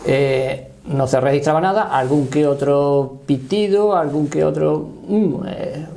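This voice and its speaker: Man, sixties, Spanish accent, gravelly, soft dark tone, halting conversational storytelling. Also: fairly high pitch, slight background noise, rough recording. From Spain. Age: 60s. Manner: halting, often seeking confirmation. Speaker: interview guest, paranormal voice researcher.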